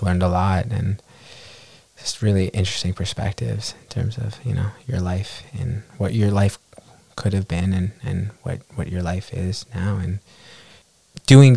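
A man, hosting a casual conversational interview in a tentative, halting way as he gathers his thoughts. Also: deep voice, gravelly, warm tone, no room sound. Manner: tentative, halting, attentive listener. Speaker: podcast host, interviewer.

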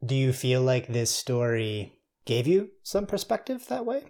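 A man's voice: mid-range voice, very animated, unhurried, a little mellow. A man hosting a thoughtful, conversational interview, phrasing his questions in a tentative, musing way. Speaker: interviewer, host.

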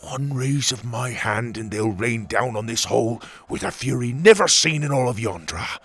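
A man voicing a boastful, threatening warlord who commands a creature army in a children's fantasy story, with a growling, gravelly voice. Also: mid-range voice, animated, moderate pace, fairly bright tone. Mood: gloating. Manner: threatening, gloating. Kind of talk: children's fantasy audiobook character.

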